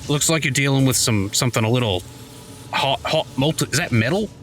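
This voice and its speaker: A man's voice: mid-range voice, brisk, a bit bright, a little hiss or hum, close to an everyday voice. A man voicing a chummy male adventurer who coaxes answers out of people with leading questions, over background music. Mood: friendly.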